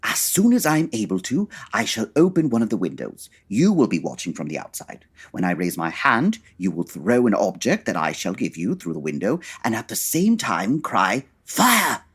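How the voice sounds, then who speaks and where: Pompous Victorian gentleman detective played by a woman: very deep, husky, precise and formal, animated delivery, comedy audio drama. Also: normal speed, hardly any pauses, full character voice. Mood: instructive, dry. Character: brisk, pompous male Victorian detective, dryly impatient.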